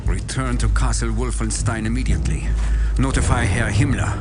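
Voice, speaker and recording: An actor playing an urgent Nazi German military officer in a video game, curt and commanding. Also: male voice, mid-range voice, steady tone, moderate pace, loud music behind the voice, natural voice.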